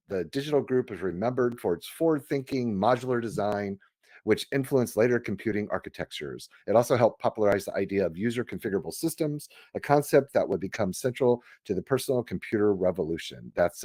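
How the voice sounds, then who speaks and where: A man co-hosting a casual chat about computer history, haltingly thinking out loud as he goes. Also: fairly low pitch. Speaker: podcast co-host.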